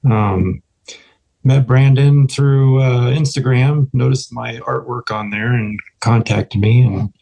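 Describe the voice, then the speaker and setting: A man's voice: mid-range voice, steady tone, takes it slowly, vocal fry, warm tone, low-quality recording. A fifty-year-old American man from Iowa introducing himself casually in a reserved, slightly shy, plain-spoken way. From Iowa, United States. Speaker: guest, artist and machinist.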